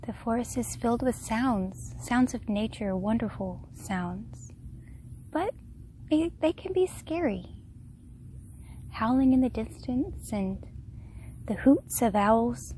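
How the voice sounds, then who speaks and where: Slow, soft woman guiding meditation. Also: on the high side, expressive, slight background noise, poor audio. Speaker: meditation guide. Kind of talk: guided meditation, slow soothing narration.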